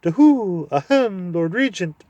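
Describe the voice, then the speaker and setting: A man voicing a flustered old male owl courtier who hoots and strains to be loud, in a storybook reading. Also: high-pitched, animated, slow pace, no room sound, lo-fi sound, pitched above the performer's own voice. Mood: straining loud. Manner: hooting, fussy, straining to be loud.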